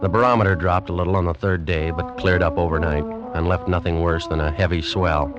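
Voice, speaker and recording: An actor playing a determined male animal collector who gives brisk, dramatic first-person narration in a 1940s radio drama. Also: low voice, steady tone, close to an everyday voice. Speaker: narrator and lead. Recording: dull old radio recording with music.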